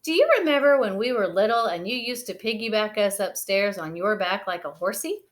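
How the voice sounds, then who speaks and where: A woman's voice: medium pitch, fairly quick, natural voice. A woman voicing a little girl bear cub, pleading and indignant, in a children's picture-book read-aloud. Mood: coaxing. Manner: pleading, crying out complaints.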